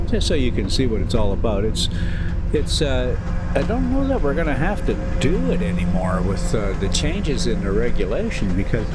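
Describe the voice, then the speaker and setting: A loud drone of vehicle and fan noise surrounds an American man with a mid-pitched voice. He talks as a casual vlogger and amateur storyteller, rambling easily between asides and read-aloud prose. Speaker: vlog host and amateur story reader. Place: inside a motorhome.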